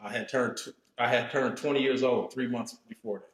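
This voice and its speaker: A man in his thirties, a podcast guest telling his own story in a slow, reflective, casual way, often checking in with "you know what I'm saying". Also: mid-range voice, steady tone. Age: 30s. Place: podcast studio room. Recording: roomy studio echo.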